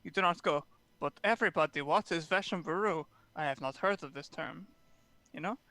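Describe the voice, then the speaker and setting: A man's voice: on the high side, brisk, a crowd in the background, close to an everyday voice. A man playing a blunt, boastful male warrior at a tabletop game, speaking broken English and dropping his articles. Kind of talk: in-character tabletop roleplay.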